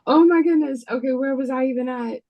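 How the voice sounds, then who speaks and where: A woman chatting casually and excitedly during a script read-through, interrupting herself in colloquial speech over a video call. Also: sits high, a little fast, lo-fi sound.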